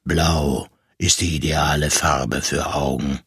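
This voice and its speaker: A man acts out a bearded craftsman from a storybook. His voice is deep and grave, slow and dry, with calm pride in his handiwork. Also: slightly rough, dry recording, exaggerated voice. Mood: grave, matter-of-fact. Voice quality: deep, serious. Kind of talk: storybook reading.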